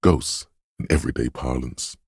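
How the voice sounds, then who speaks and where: Very deep, gravelly mystical inner voice. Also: male voice, fairly even intonation, normal speed, no echo, studio quality, full character voice. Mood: dark, wary. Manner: hushed, mysterious, portentous, theatrical. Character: brooding, mystical inner voice of intuition, ominous and superstitious. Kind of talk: dramatic video game narration.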